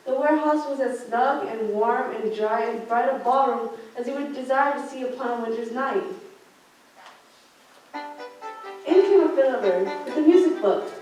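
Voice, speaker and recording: Echoey high-voiced teenage girl narrating. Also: darkish tone. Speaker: narrator in a student radio play. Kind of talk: scripted storytelling narration. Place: large school theater classroom, indoors. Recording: echoey room, distant mic, background noise.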